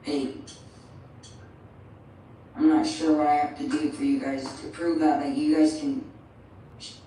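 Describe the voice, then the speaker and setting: A man being questioned by police, answering hesitantly and haltingly. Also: on the high side, little pitch movement, warm tone, a little hiss or hum. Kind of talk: recorded interrogation. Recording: echoey, distant interview-room audio.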